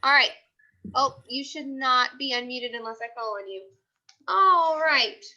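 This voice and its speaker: A woman teacher reading aloud to young children in an expressive storytelling way, with deliberate pauses. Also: high voice, lo-fi sound. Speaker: elementary school teacher.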